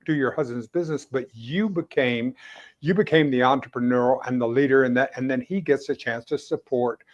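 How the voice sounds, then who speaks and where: Guy hosting a chatty podcast interview, medium pitch, really encouraging, keeps restarting his phrases. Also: dull audio. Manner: effusive and encouraging; halting, repeats and rephrases phrases. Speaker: podcast host interviewing a guest. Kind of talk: casual conversational interview.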